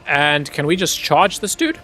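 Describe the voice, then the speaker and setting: A man's voice: on the high side, big pitch swings, unhurried, bright, forceful tone, soft background music. A man from Zimbabwe streaming a game, chatting easily with his viewers and laughing often while he plays. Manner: easygoing, chatty, laughs often, talks to chat. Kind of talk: casual gameplay commentary.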